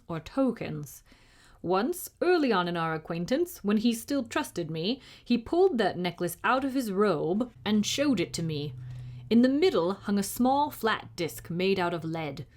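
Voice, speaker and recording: A woman voicing a proud, formal, easily indignant tiny knight in a storybook, with old-fashioned dignified speech. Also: medium pitch. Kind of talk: storybook character reading.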